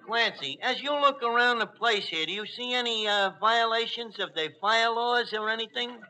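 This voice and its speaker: A man's voice: very high-pitched, normal speed, pushed and bright, full character voice. An actor playing a brash, flirtatious working-class tavern man with a New York accent, mangling fancy words in a 1940s radio comedy. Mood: wry. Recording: noisy, clicky old radio broadcast.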